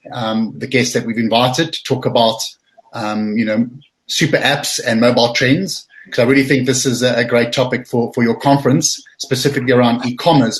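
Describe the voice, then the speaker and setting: A man's voice: mid-range voice, poor audio. Middle-aged man moderating an online panel. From South Africa. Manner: conversational, repeats words while thinking.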